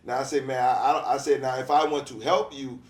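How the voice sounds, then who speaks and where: A man's voice: mid-range voice, steady tone. Earnest, rambling man in an echoey room. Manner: rambling, halting restarts, earnest. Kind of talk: casual podcast conversation.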